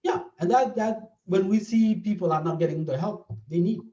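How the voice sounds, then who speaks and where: A man's voice: sits high, moderate pace, dark tone. A man from South Sudan with a South Sudanese accent, speaking as a therapist in an interview and explaining his points earnestly, often repeating them. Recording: dull, echoey, from a distance.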